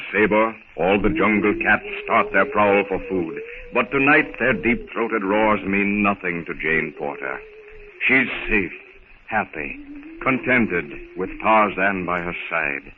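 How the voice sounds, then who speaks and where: A man's voice: low-pitched, unhurried, a crowd in the background. A man narrating a 1930s radio adventure serial in a dramatic, solemn storytelling manner. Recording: scratchy, tinny 1930s radio recording.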